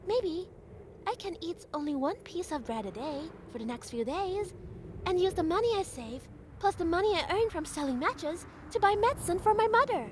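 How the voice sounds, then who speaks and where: A woman playing a poor, gentle little girl selling matches in a fairy tale audio drama. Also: very high voice, lively intonation, moderate pace, slight background noise. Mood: sad, hopeful.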